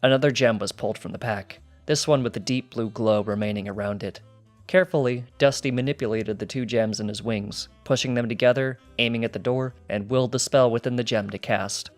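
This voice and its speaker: A man's voice: mid-range voice, fairly even intonation, a little fast, faint music behind. A man reading fan fiction as scripted storybook narration. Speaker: audiobook reader.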